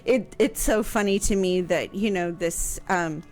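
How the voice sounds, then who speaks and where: A woman from Kansas explaining history on a radio talk show, speaking carefully and pausing often on fillers. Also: lowish voice, darkish tone, soft background music. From Kansas, USA. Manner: slow, careful, halting with fillers.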